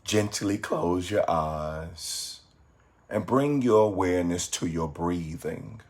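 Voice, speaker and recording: Guy reading a guided meditation, really low voice, super slow and calm, lots of pauses. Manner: calm, measured, soothing delivery with pauses.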